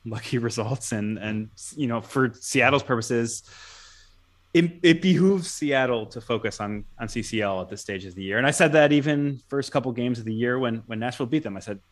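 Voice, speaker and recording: A man co-hosting a soccer podcast, talking casually with frequent restarts and small laughs. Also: mid-range voice. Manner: conversational, many restarts and soft laughs. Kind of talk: casual sports talk.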